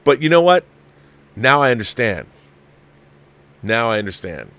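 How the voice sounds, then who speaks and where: A man's voice: medium pitch, sing-song, unhurried, fairly bright tone, clear, resonant tone, no room sound. A man hosting a film review livestream, chatting off the cuff to viewers in a rambling, emphatic way and often repeating his phrases. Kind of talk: casual off-the-cuff movie review chat to viewers.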